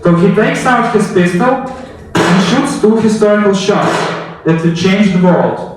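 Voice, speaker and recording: A man's voice: high-pitched, unhurried, slight background noise. A man giving a storytelling speech as a public speaker, narrating history dramatically in the second person and putting rhetorical questions to his listeners. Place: echoey indoor room, recorded from a distance. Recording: very echoey room, distant microphone.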